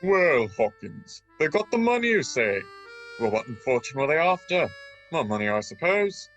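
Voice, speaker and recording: Officious, hearty sergeant played by a man, very animated delivery, radio drama with prominent music underneath. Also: a little high, moderate pace. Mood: brisk, blustering. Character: blustering revenue sergeant, brisk and officious man. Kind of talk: radio play acting.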